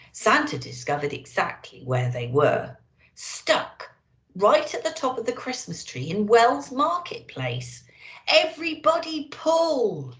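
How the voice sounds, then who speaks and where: A woman reads a storybook to young children with expressive, lively rises and falls. She takes her time over the phrases, in a slightly low voice. The room adds a noticeable echo. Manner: expressive, addressing children directly. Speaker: children's storyteller in character as an elf. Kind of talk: animated picture-book reading for young children. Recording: roomy, echoey indoor sound.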